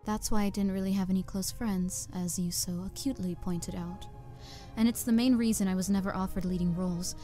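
A woman's voice: mid-range voice, steady tone, brisk, warm tone, faint music behind, lightly acted. A woman voicing an earnest young opera singer. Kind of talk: fan audio drama acting.

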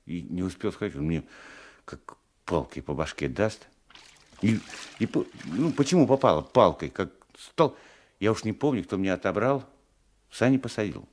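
An actor playing a querulous old peasant husband, hesitant and self-justifying, in a 1980s Soviet radio drama. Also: male voice, deep voice, very animated, normal speed, a little mellow. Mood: aggrieved. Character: querulous, battered old peasant husband, meek but stubborn.

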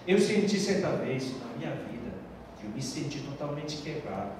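A middle-aged man, a pastor giving an earnest sermon testimony into a handheld mic on a church stage, with halting phrasing and frequent restarts. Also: mid-range voice, sing-song. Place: church stage with large hall. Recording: echoey hall from far off with background noise.

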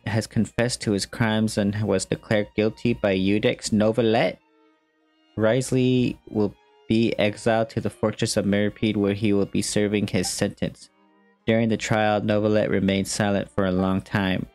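A young man streams a game in a casual style. His voice sits low as he comments and reads text aloud. Faint game music runs underneath. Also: a little mellow. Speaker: gaming streamer.

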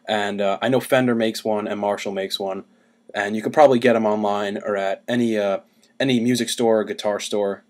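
Young man, slightly low voice, casual step-by-step explaining, home webcam recording. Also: steady tone, brisk, a little mellow. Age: young adult. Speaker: DIY music gear demonstrator. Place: small cluttered room at home. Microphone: webcam about arm's length away.

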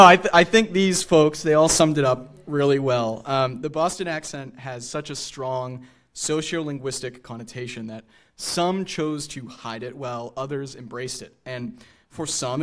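A young American man delivers a public lecture from a theater stage. His voice is mid-pitched, and he mixes prepared points with casual asides into a podium microphone. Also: normal speed. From New England, USA. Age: young adult.

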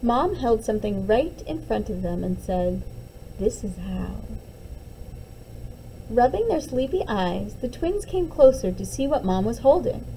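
Bright-voiced storytime woman, high and expressive. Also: moderate pace, hardly any pauses, slight background noise, rough recording. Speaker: teacher reading a picture book aloud. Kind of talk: expressive storybook read-aloud.

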